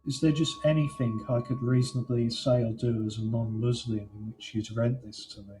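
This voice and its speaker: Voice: medium pitch, fairly even intonation, normal speed, dark tone, a little hiss or hum. A caller asking polite, tentative questions on a phone call. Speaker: undercover caller.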